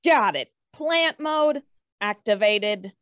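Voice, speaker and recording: Cartoon fantasy shrub, female performer: timid and childlike, very lively pitch, unhurried, close dry sound. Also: no room sound. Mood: reluctant. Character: timid, anxious talking shrub, small and hesitant. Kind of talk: animated fantasy table read acting.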